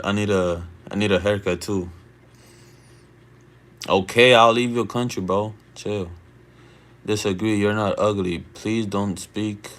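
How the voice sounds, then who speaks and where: A man's voice: deep voice, fairly bright tone. A man of Dominican family, born in the US, chatting casually on a late-night live stream. He reads out his chat in a slangy, laid-back way and keeps asking "you feel me?".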